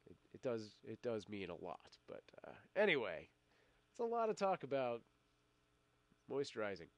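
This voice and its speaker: A man's voice: mid-range voice, huge pitch swings, normal speed, no room sound. Middle-aged man live-streaming with a cold. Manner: rambling, many fillers and restarts, laughs often. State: fighting a cold. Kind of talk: casual live-stream chat, reading viewer comments.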